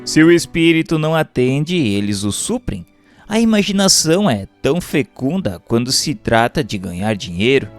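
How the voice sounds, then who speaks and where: A Brazilian man gives a formal, scripted narration in the manner of an audiobook. His pitch sits in a middle range but moves up and down expressively as he explains. Faint music plays behind his voice. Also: sing-song, moderate pace, no room sound. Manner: formal, didactic. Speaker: narrator reading a book aloud. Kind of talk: scripted audiobook-style narration of a doctrinal text.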